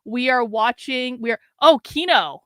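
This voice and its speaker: Chatty woman host, slightly hoarse. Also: on the high side, measured pace, dry recording, clean studio sound. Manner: chatty, rambling, wry asides to the audience. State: losing her voice. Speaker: livestream host. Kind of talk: casual livestream chat.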